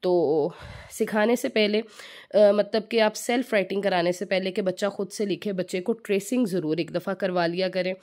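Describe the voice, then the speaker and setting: A woman with a slightly hoarse throat, giving a chatty home tutorial for mothers that mixes in English words. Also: medium pitch, moderate pace, darkish tone. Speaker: home teaching channel host. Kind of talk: casual home tutorial talk.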